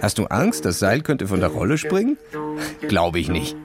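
A man's voice: sits low, huge pitch swings, moderate pace, over music. A man voicing a cocky, condescending boy who brags in casual slang, in a children's audio story. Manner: bragging, sneering, casual youth slang.

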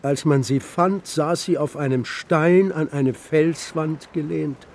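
A man's voice: fairly high pitch, slow pace, a little mellow. An actor playing a stern, weary old grandfather, a terse and grave herder, in a German children's radio drama. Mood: grave, remembering.

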